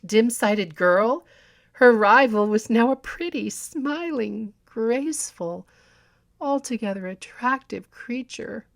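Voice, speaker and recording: A woman narrating a story as an audiobook reader, in a theatrical, deliberate and expressive manner. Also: medium pitch. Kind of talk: dramatic scripted story narration.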